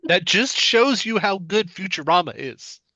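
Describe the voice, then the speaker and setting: Bright, animated man in casual banter. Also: high voice, a little slow, dry recording, poor audio. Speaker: table read performer.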